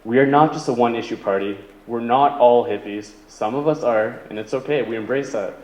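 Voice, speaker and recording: A man's voice: mid-range voice, fairly quick, a little mellow, muffled recording. A man giving an earnest opening statement as a political candidate at a debate. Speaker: political candidate, Green Party. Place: indoor community debate venue. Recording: echoey hall with faint noise.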